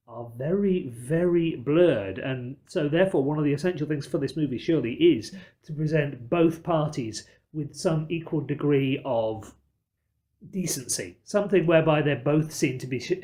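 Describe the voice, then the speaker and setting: A man hosting a podcast, talking in a wordy, measured manner with wry turns of phrase. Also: fairly high pitch, dark tone. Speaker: podcast host. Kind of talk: discursive podcast commentary.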